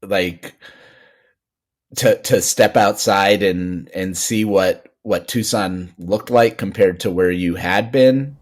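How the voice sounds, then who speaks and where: A host with a very low voice who speaks slowly and unhurriedly. He asks long, curious questions, feeling his way toward each point. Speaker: podcast host. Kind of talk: interview conversation.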